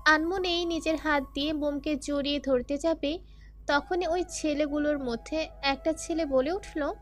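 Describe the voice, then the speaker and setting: Woman storyteller, pretty high voice, scripted narration w soft music behind. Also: steady tone, unhurried. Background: faint music.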